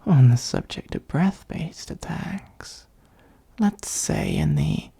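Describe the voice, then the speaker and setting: A performer in an ASMR roleplay voicing an ancient, wry, self-serving dragon who gives advice in a breathy, husky half-whisper with measured, formal phrasing. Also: medium pitch, mellow, no echo, exaggerated voice.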